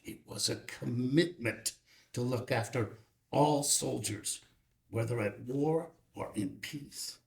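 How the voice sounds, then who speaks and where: Man acting a slow, gravelly veteran father. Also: mid-range voice, darkish tone. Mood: solemn, reflective. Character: ageing veteran father, grieving, wry, reflective. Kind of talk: dramatic film script table read.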